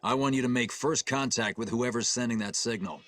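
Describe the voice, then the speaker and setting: An actor playing a calm middle-aged starship captain, giving measured, clipped orders in a military game cutscene. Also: male voice, mid-range voice, faint music behind, natural voice. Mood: firm. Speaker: ship captain.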